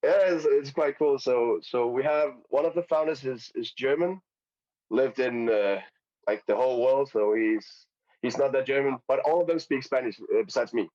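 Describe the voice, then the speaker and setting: A man from Denmark, a podcast guest who talks haltingly, repeating words and using many fillers. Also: mid-range voice, dark tone. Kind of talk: casual interview chat. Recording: lo-fi podcast audio.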